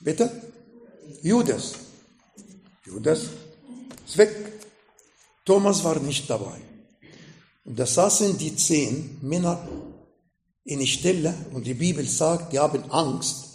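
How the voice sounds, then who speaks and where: A man with an Arabic accent preaching a sermon in slow, emphatic phrases that rise sharply in pitch. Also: lively intonation, a little mellow, echoey room. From Middle East. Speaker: pastor.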